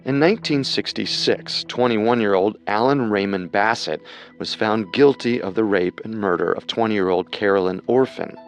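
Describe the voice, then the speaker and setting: A man co-hosting a scripted true-crime programme, narrating in a measured, dramatic way with music behind him. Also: on the low side. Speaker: co-host narrator.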